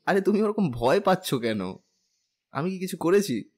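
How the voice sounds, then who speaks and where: Man acting a lovestruck young husband, lively pitch. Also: moderate pace. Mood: playful. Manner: pleading, playful, whiny with elders. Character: lovestruck, cheeky, stubborn young husband and son. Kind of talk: Bengali audio drama acting.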